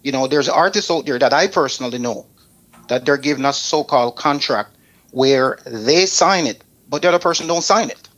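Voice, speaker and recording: A man's voice: mid-range voice, normal speed, fairly bright tone. A man with a Jamaican accent hosting a talk show, bluntly and emphatically driving his points home by repeating them. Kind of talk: opinionated radio talk aimed at advising artists.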